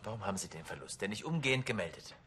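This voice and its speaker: A man's voice: lowish voice, sing-song, racing, pushed and bright, slight background noise. An actor playing a brusque male police investigator in a TV crime drama. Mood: interrogating.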